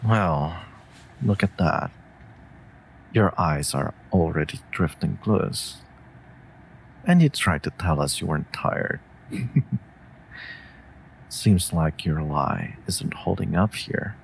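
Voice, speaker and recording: Wolf familiar, played by a man: stoic protector, slow drawl, deep register, dry humor, comfort audio. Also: gravelly, a little hiss or hum, full character voice, poor audio. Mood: drowsy, tender. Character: stoic wolf familiar, gruff but tenderly protective of mage. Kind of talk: intimate comfort audio roleplay.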